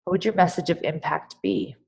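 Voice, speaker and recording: A woman with a somewhat low voice coaches a guest on her podcast. She asks probing questions and keeps her tone encouraging. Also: a little fast, creaky, a little mellow. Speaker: podcast host and business coach. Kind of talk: coaching conversation.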